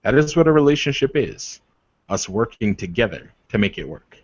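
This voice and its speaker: A man playing a blunt, exasperated male werewolf in a tabletop game, speaking in a deliberate, halting, emphatic way. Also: medium pitch, sing-song, husky, no echo, lo-fi sound. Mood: earnest, firm.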